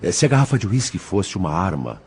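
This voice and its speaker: Man reading as an earnest, deep-voiced questioner. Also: very animated, fast talker, a little hiss or hum. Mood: perplexed, earnest. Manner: formal, respectful, questioning. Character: troubled, earnest man questioning a spiritual mentor.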